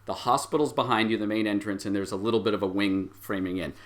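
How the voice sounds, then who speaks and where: A man working as game master, improvising vivid scene narration with frequent halting pauses. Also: on the low side, fairly even intonation, a bit bright.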